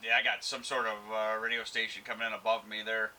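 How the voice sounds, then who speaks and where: Man on ham radio amid loud static. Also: fairly low pitch, little pitch movement. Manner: halting, uses radio procedure words and callsigns. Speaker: amateur radio operator and video host. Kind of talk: casual ham radio contact with on-camera commentary. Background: radio receiver noise and static.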